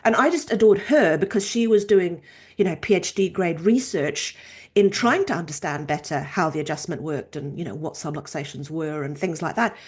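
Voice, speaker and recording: A woman in her fifties from Norway, a chiropractor and researcher telling her story in a casual, animated interview. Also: fairly low pitch, normal speed, husky. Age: 50s. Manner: animated storytelling, acts out quoted voices.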